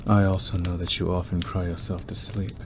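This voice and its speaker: Smitten yandere werewolf male actor, ASMR roleplay: very deep, unhurried, quietly threatening and teasing, over noticeable background ambience. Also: little pitch movement. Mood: knowing, intense. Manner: slow, deliberate, softly menacing and teasing. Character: obsessive predatory male werewolf, wolfish, smitten stranger. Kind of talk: ASMR roleplay monologue to the listener. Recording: clearly noisy background ambience.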